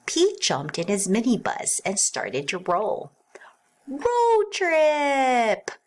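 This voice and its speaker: A woman reading a rhyming children's storybook aloud as narrator, in an expressive sing-song way. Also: fairly low pitch, measured pace, breathy edge.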